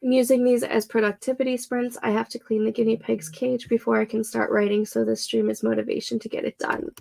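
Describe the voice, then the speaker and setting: A young woman with a stuffed-up, congested nose, chatting casually and slowly while hosting a live stream of writing sprints, with many fillers. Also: on the high side, flat delivery, vocal fry, dark tone. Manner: slow, rambling, lots of fillers.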